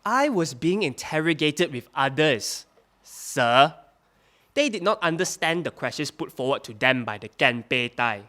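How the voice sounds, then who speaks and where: A man playing a defensive wartime interpreter on the witness stand, speaking halting, slightly broken English as a non-native speaker. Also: fairly high pitch, very animated, sharp tone, full character voice. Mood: explaining. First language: not English. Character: evasive wartime interpreter for military police, male, defensive witness. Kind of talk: audio drama acting.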